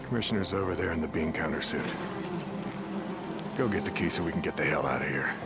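An older American man playing a weary, peace-minded leader of a survivor camp in a game cutscene, speaking plainly and haltingly. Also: very deep voice, loud music behind the voice. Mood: somber, haunted. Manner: halting, reflective, plain-spoken. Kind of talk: dramatic video game cutscene acting.

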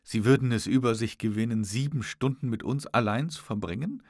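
A man's voice: medium pitch, brisk, darkish tone, no echo, lightly acted. Man reading as young lady, spirited, sceptical, formal teasing retorts, audiobook, dry sound.

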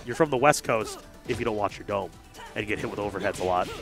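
A young man commentating a live fighting game tournament, joking and getting loud whenever the play heats up. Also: fairly low pitch, quick pace, vocal fry, soft background music. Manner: excitable, jokey, gets loud when hyped. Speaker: esports commentator. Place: large hangar hall at a gaming event.

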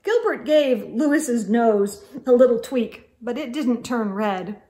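A middle-aged woman with a fairly high voice reads a children's story aloud. She takes her time, phrasing each line clearly and expressively for young listeners. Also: lively intonation, slow pace, dark tone. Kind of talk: expressive picture-book read-aloud for children. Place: indoor room with bookshelves.

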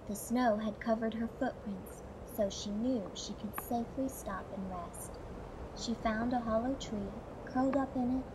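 A woman's voice: a little high, noisy background. A woman reading a children's fairy tale aloud as a storybook narrator. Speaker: storybook reader.

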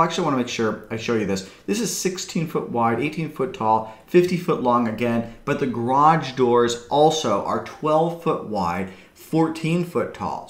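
A man's voice: mid-range voice, reverberant. A man in his thirties, a real estate broker, chatting enthusiastically through a property walkthrough at his desk webcam. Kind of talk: upbeat property walkthrough video. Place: small room indoors.

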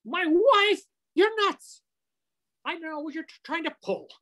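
A man's voice: very high-pitched, animated, measured pace, sharp tone, full character voice. An older man playing a slangy, exclamatory wisecracking fellow in an amateur old-time radio play. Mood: exasperated. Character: exasperated wisecracking working man caught in hoax. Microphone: computer on video call.

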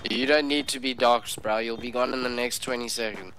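A man's voice: mid-range voice, fairly even intonation, fairly quick. Taunting man in noisy game chat. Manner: taunting, mocking. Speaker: online game player. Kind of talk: trash talk in game voice chat.

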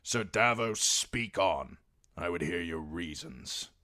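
A man voicing a stern, grim fantasy king in an audiobook, measured, curt and authoritative. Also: deep voice, expressive, pushed and bright, full character voice. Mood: stern, commanding.

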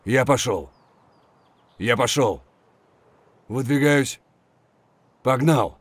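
Gruff shooter-game soldier, husky, terse phrases, unhurried pace, expressive pitch swings. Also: male voice, dry recording. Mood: terse, businesslike. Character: gruff, weary, foul-mouthed Russian soldier. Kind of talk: military shooter game voice lines.

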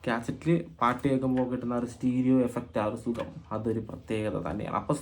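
A man from Kerala hosting an informal explainer vlog, speaking haltingly and often repeating phrases as he thinks aloud. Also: mid-range voice, little pitch movement, darkish tone. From Kerala, India. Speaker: video host and cassette collector.

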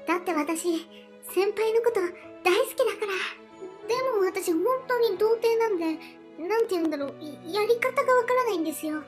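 Squeaky, flustered schoolgirl. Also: a little fast, music in the background. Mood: shy, affectionate. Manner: stammering, protesting, polite junior speech. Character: gullible, shy, flustered younger schoolgirl with a crush. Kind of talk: hobby romance voice drama.

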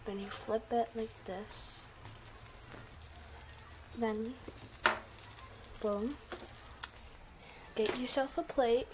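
Teen girl, bright voice, chatty home cooking demo with plenty of fillers, noisy phone recording. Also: on the high side, very slow, long pauses. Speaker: guest cook. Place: home kitchen. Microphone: handheld phone camera.

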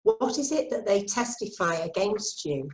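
A woman reading the part of a stern, accusing high priest in a dramatic scripture reading. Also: animated, normal speed, a little mellow, slight background noise, natural voice, poor audio. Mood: stern questioning.

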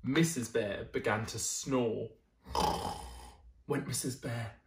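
A young man reading a bedtime picture book to children in an expressive, deliberate way. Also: medium pitch, distant mic, noisy background. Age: young adult. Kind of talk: animated children's story reading. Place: small indoor room, seated. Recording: roomy indoor echo.

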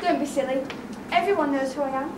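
A woman's voice: high-pitched, fast, noisy background, lightly acted. A young woman playing a sweet, girlish fairy-tale princess in a stage pantomime, projecting innocently. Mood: breezy, curious. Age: young adult. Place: theatre stage before an audience. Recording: echoey distant theatre stage, music behind.

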